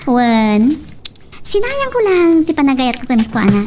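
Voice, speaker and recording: A woman with an Ilocano accent acting a spirited, expressive character in an audio drama, with probing, drawn-out questions. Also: high-pitched, faint music behind. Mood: playful, teasing. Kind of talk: Ilocano radio-style love drama acting. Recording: slightly distant mic, faint noise.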